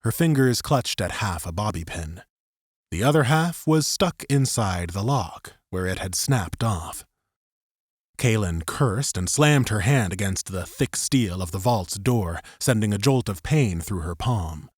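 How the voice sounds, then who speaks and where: A man's voice: on the low side, lively intonation, a little slow, breathy edge, no room sound, clean studio sound. A man narrating a scripted third-person story as an audiobook narrator.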